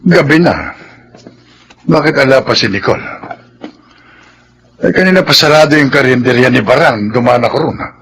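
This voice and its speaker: An actor in a Filipino radio drama playing a concerned, protective older uncle who admonishes earnestly. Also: male voice, medium pitch, lively intonation, normal speed, long pauses, a bit bright, slightly rough. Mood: worried.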